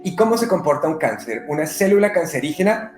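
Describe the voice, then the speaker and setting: Man from Colombia, medium-guest type, measured and pausing, echoey room. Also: a little high, big pitch swings, soft background music. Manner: unhurried, many "eh" pauses. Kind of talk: conversational interview. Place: living in Brazil.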